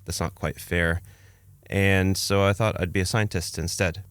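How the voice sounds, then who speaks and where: A man's voice: low voice, steady tone, a little slow, a bit bright, smooth, no echo, clean studio sound. A man, telling his own story conversationally as a podcast guest. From Canada, born in Romania.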